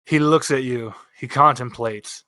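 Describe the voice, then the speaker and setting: A man's voice: medium pitch, a little slow, pushed and bright, no room sound, studio quality. A man acting as game master, casually narrating a tabletop game.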